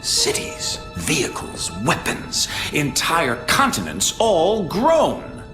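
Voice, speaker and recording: An actor playing a suave, smug criminal mastermind in a superhero story, savouring words in a grandiose, theatrical way. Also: male voice, fairly high pitch, huge pitch swings, slow, heavily acted. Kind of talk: superhero film villain acting. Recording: roomy echo, loud music underneath.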